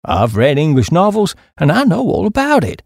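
A man's voice: on the high side, wildly swooping pitch, normal speed, creaky, darkish tone, breathy edge, dry recording, clean studio sound, full character voice. A man voicing a stout, suspicious American small-town businessman in an audiobook, blunt and insistent. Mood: challenging.

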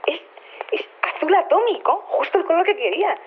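A woman playing an excitable, scatterbrained street reporter with a Castilian Spanish accent, chattering and stammering through a telephone filter. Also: very high-pitched, a little fast. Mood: excited, chatty. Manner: chatty, stammering, easily sidetracked. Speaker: on-the-scene reporter. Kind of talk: colloquial acted phone report. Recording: tinny narrow phone line.

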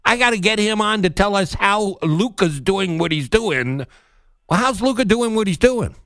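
A man's voice: high voice, lively intonation, normal speed, a bit bright, no room sound. An older man hosting a sports radio interview, talking informally in a chummy, bantering way. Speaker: sports talk radio host.

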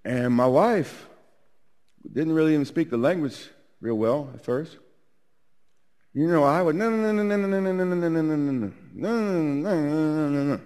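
A man preaching a sermon, with a naturally loud voice, swinging from loud to hushed. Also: on the high side, lively intonation, moderate pace, clean tone. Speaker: pastor.

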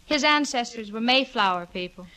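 An actress playing a dry, sarcastic, exasperated young roommate, the sensible one in a 1940s radio comedy. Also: female voice, a little high, lively intonation, moderate pace, bright, forceful tone. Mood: wistful, exasperated. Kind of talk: 1940s radio sitcom acting. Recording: noisy 1940s radio recording.

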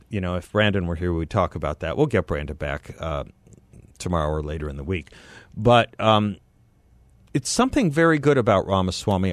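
Brief: male talk radio host, lowish voice, dry close studio mic, rambling conversational delivery. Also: no room sound. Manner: conversational, self-correcting, reads ads with enthusiasm. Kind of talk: casual radio talk and live ad read. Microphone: studio microphone.

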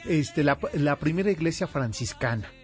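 A man's voice: a little high, animated, normal speed, soft background music. A man with a Mexican accent hosting a casual radio show, hesitant and searching for words as he talks.

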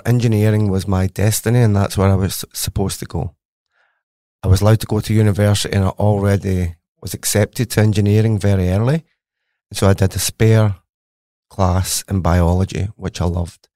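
A man's voice: sits low, flat delivery, a little fast, dark tone, dry recording. A middle-aged man with a Scottish accent, a scientist guest in a podcast interview, telling his story eagerly and conversationally. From Scotland.

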